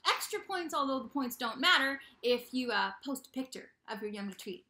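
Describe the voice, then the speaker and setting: A young woman hosting a casual vlog to camera, talking in a bubbly, playful, animated way in a small room. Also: sits high, normal speed. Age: young adult. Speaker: YouTube host of a book channel. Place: small bedroom indoors. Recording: echoey untreated room.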